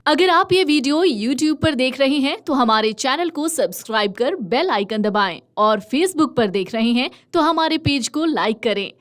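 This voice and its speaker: A woman's voice: sits high, animated, normal speed, few pauses, fairly bright tone, soft background music. A woman reading a scripted channel promo as an announcer.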